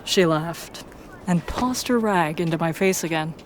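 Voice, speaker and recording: Low-pitched woman narrating a story. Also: measured pace. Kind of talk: scripted first-person story narration. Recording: faint background noise.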